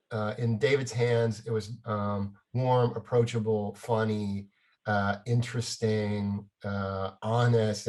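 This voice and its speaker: A man with a fairly low voice reflects in casual conversation. He is halting, picking out his words one by one. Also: a little mellow. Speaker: playwright.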